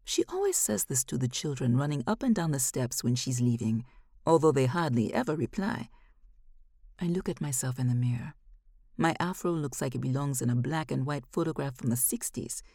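Low-voiced woman reading a story. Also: lively intonation, normal speed, mellow, no room sound, clean studio sound. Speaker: narrator reading a short story. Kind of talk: literary first-person audiobook narration.

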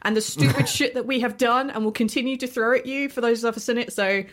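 Talk as a female cast member in casual banter, keeping the voice a little on the high side. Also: fairly even intonation, fast talker, a bit bright.

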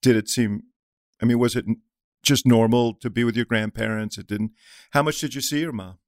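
A man hosting an interview, asking questions haltingly with many fillers and restarts. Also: medium pitch, steady tone, no room sound, studio quality. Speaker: podcast host. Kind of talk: interviewing a guest.

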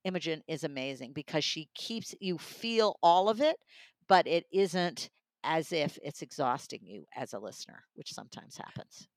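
A woman's voice: sits low, animated, normal speed, dry recording. A woman talking enthusiastically and emphatically in a conversational book review. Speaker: audiobook reviewer.

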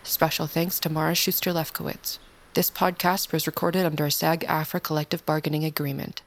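A woman's voice: low-pitched, little pitch movement, fairly quick. A woman working as an announcer, reading scripted production credits.

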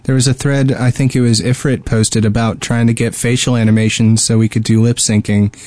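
A man's voice: medium pitch, flat delivery, brisk, a little mellow, no room sound. A man co-hosting a gaming podcast, chatting informally and somewhat hesitantly. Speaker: podcast co-host. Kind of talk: casual gaming podcast chat.

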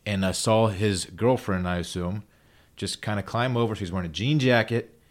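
A man's voice: fairly low pitch, normal speed. A man hosting a casual podcast, telling stories with eager, jokey energy. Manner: animated storyteller, eager and jokey. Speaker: podcast host.

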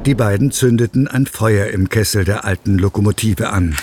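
A man narrating a scripted children's story in a measured, descriptive way. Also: on the low side, background noise. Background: background music.

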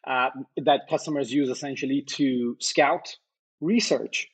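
Husky male founder from Iran, musing through answers with many "uh"s and restarts. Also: medium pitch, a little slow. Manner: thoughtful, many fillers and restarts. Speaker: company co-founder and CEO, podcast guest. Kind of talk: conversational interview answers.

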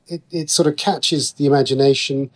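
A man's voice: on the high side, fairly even intonation, moderate pace. A man with a British accent talking as a guest writer in a conversational interview, explaining things in a chatty way.

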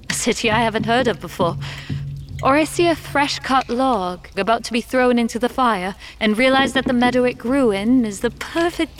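High-voiced, earnest village oracle played by a woman. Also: background noise, close to an everyday voice. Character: earnest, courteous village woman burdened with oracular knowledge. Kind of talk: audio drama acting.

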